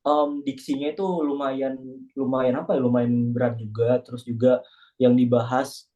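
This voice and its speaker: A man's voice: medium pitch, level tone, normal speed, mellow, lo-fi sound. A young man with an Indonesian accent chatting in a casual online class discussion, joking and self-deprecating. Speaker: class participant. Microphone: video call.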